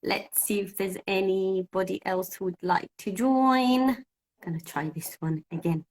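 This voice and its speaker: A woman's voice: medium pitch, fairly even intonation, unhurried. A woman from Cyprus whose first language is Greek, an English teacher hosting a casual live-stream chat and thinking aloud as she sorts out technical trouble. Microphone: phone.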